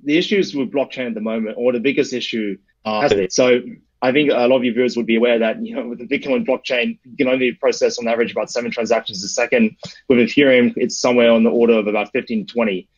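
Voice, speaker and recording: A man's voice: mid-range voice, fairly even intonation, quick pace, muffled recording. A young man with an Australian accent giving conversational answers as an interview guest. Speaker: interview guest, startup adviser.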